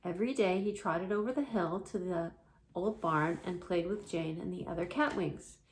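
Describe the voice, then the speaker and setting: A woman's voice: fairly low pitch, normal speed, slight background noise, low-quality recording. Woman reading a children's story aloud.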